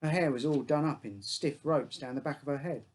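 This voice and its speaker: A man's voice: on the high side, a little fast, a little mellow. A man reading his own short story aloud as a first-person narrator, in simple childlike phrasing.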